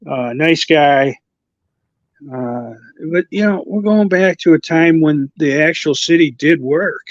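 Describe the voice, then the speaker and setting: Halting man with a slight Chicago accent. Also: fairly high pitch, no room sound, lo-fi sound. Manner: halting, full of uhs and restarts. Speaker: guest co-host. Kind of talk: casual conversation.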